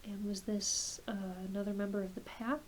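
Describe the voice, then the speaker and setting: A soft-spoken woman, often dropping into a whisper, gently playing a reassuring doctor in an ASMR roleplay. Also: medium pitch, flat delivery, brisk. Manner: gentle, reassuring, hesitant questioning. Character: kindly, attentive doctor examining a patient. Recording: hissy close home recording.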